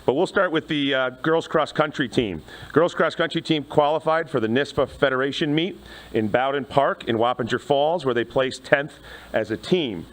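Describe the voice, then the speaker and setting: A man with a loud voice, an athletics director announcing student awards into a podium microphone in a crowded meeting room. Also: medium pitch, moderate pace. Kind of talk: public address at a board meeting.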